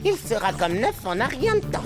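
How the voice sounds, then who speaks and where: A woman voicing a brainy young microbe schoolkid in a French cartoon, excitable and given to explaining science. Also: fairly high pitch, brisk. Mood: eager, reassuring. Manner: didactic, excitable. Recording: music under the voice.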